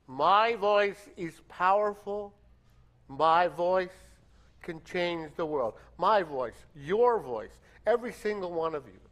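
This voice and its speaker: A man leading a congregation in a hymn, speaking and then singing gently and unhurriedly in a church. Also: high voice. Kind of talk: introducing and singing a hymn with guitar. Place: church sanctuary.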